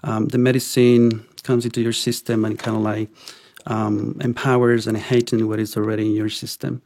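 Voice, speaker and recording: Low gravelly man from Ecuador. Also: normal speed. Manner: relaxed, hesitant with many ums and restarts. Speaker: podcast guest. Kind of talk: casual interview conversation.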